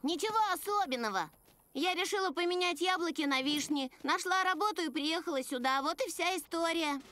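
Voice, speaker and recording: High-voiced stubborn farm pony. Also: female voice, brisk, bright, forceful tone, a little hiss or hum. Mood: guarded, curt. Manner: curt, guarded. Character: stubborn, plain-spoken young female farm pony. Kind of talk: animated children's cartoon dub. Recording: music behind the voice.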